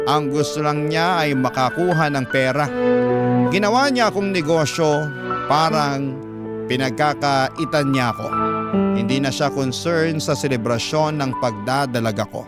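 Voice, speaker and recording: A man with a Filipino accent narrating a scripted first-person story as a host, in an emotive, deliberate radio-drama style. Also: on the high side. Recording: loud music bed under voice. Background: loud instrumental music.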